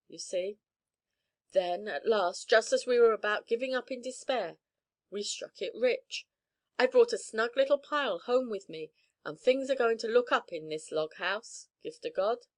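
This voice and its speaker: A woman's voice: a little high, lightly acted. Storybook hero: a hearty, plain-spoken young man home from the north, read by a woman. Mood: cheerful. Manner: sober, cheerful storytelling.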